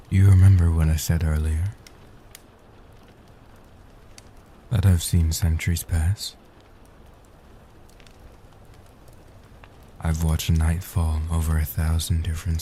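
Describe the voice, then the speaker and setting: A low, soft-spoken immortal vampire guardian, played by a man, in a comfort roleplay audio. He is tender and devoted, speaking slowly and deliberately in a very even, hushed tone. The voice is very dark and warm. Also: a little hiss or hum, natural voice. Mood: soothing. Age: young adult. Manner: tender, reassuring, slow and deliberate. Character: gentle, devoted centuries-old male vampire guardian.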